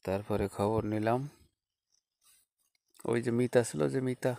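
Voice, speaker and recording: A man acting as a polite schoolteacher with a Bangladeshi accent in an audio phone drama. He talks haltingly in a mellow, gravelly voice, recorded close and dry. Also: fairly low pitch, fairly even intonation, dry recording. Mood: hesitant, explaining. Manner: hesitant, halting, with frequent small acknowledgements. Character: hesitant, polite unmarried former schoolteacher phoning an old schoolfriend. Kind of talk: staged phone-call audio story, conversational.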